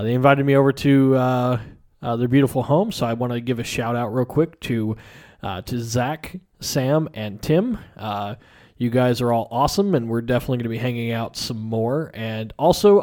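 A man with a slightly raspy voice hosting a podcast in a casual, joking way. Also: medium pitch, darkish tone. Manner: joking, teasing. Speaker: podcast host.

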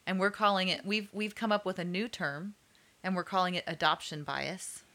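A woman's voice: lowish voice, steady tone, moderate pace, pushed and bright. A woman co-hosting a chat podcast, wry and joking, laughing at her own remarks. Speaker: podcast co-host.

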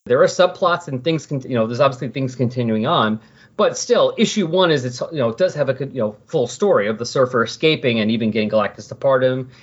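A man's voice: medium pitch, expressive, fast, slightly rough, lo-fi sound. A man hosting a podcast in casual talk, rambling and correcting himself as he goes. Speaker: podcast host.

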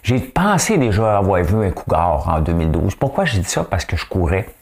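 Host, male, Quebec French accent, low voice with a lively, swinging delivery, solo chatty commentary. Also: fairly quick. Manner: chatty, asks "hein?" often, joking asides. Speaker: solo online show host. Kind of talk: casual solo commentary show.

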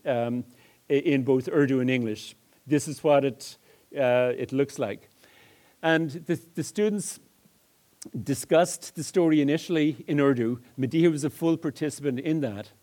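Measured male lecturer. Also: fairly high pitch, warm tone. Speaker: lecturer on multilingual education. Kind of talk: public lecture with examples.

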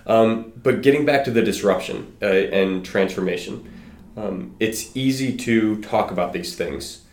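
A man with a low voice hosting a relaxed interview. He asks questions conversationally and gives short, easy affirmations. The recording has a roomy echo. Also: a little slow, slight background noise. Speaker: interviewer, host.

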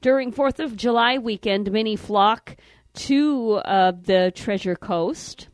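A woman reading the morning news headlines on a radio show, in a steady, measured delivery. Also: a little high, dry recording. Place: radio studio.

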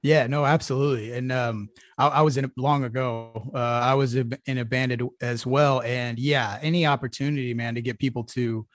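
A man's voice: medium pitch, steady tone, brisk, few pauses, no echo. A man hosting a podcast who talks casually and rambles, with many fillers and restarts. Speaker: podcast host. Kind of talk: casual interview.

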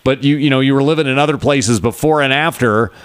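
A man's voice: mid-range voice, quick pace, clear, resonant tone. A man in his fifties from California, co-hosting a casual morning radio chat and often repeating words as he starts a thought.

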